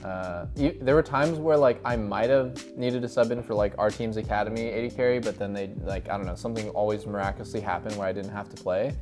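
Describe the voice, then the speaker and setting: A man bantering casually in a teasing, animated way. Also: lowish voice, fast, hardly any pauses. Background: background music.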